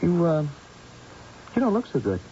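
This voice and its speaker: Tactful, reassuring grown son, mellow and fairly high, vintage radio drama on a dull recording. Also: male voice, huge pitch swings, moderate pace, slight background noise. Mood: concerned. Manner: hesitant, gently reassuring. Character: concerned, tactful adult son of a worried mother. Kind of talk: old-time radio drama acting.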